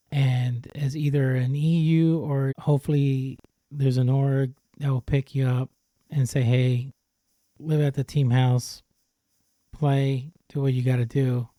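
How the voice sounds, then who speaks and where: A man's voice: medium pitch, mellow, no echo, clean studio sound. A man hosting a podcast, chatting casually and easygoingly with many fillers. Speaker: podcast host. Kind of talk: casual chat.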